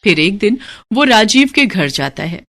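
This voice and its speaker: A woman's voice: mid-range voice, very animated, unhurried, fairly bright tone, dry recording, clean studio sound. A woman narrating a scripted moral bedtime story as a storyteller.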